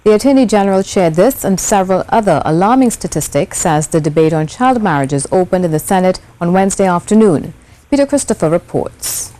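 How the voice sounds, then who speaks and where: A woman's voice: on the low side, animated, brisk, mellow, low-quality recording. A woman with a Trinidadian accent presenting scripted news as an anchor.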